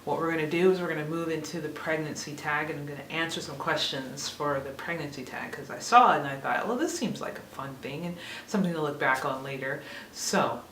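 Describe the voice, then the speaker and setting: A woman's voice: low-pitched, a little fast, few pauses, a bit bright. Woman, 30s, casual vlog chat, rambling, self-laughing, roomy echo, distant mic. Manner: chatty, rambling, laughs at herself. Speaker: vlogger. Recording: echoey room, mic a bit far off, faint hiss.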